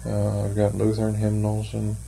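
Older man, gravelly and flat, slow hesitant talker; faint noise on the tape. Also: lowish voice, poor audio. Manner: slow, hesitant, thoughtful, many fillers. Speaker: interviewee, church member. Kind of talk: oral history interview.

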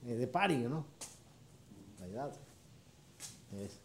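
Soft-toned Venezuelan man, echoey room. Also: medium pitch, lively intonation, a little hiss or hum. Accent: Venezuelan Spanish. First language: Spanish. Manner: halting, repeats short affirmations. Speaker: harp player. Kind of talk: interview answers.

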